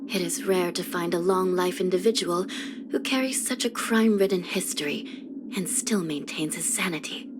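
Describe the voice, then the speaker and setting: A woman playing a weary, grave young judge of the underworld in a video game, speaking softly in measured, formal phrases. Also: lowish voice, background noise, lightly acted. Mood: stern. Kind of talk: scripted video game character voice lines. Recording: music under the voice. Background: background music.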